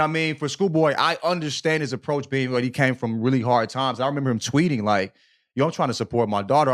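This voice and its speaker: A man's voice: medium pitch, a bit bright. A man co-hosting a podcast, chatting casually in an emphatic, slangy way, repeating himself and often checking that listeners follow. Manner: repetitive, emphatic, slangy, peppered with check-in tags. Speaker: podcast co-host. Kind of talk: casual opinionated podcast chat.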